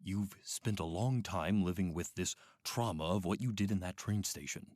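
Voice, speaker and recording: A man playing a manipulative, middle-aged doctor in a radio drama, with a measured, clinical and coaxing way of talking. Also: deep voice, darkish tone, dry recording, studio quality. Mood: soothing. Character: calm, manipulative, sinister male doctor running an institute.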